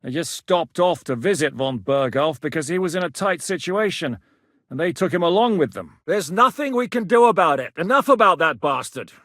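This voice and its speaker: An actor playing a gruff, blunt, sweary rebel leader in a video game, giving curt orders. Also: male voice, fairly high pitch, expressive, moderate pace, fairly bright tone, dry recording, studio quality. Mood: barking. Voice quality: gruff, commanding. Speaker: game character.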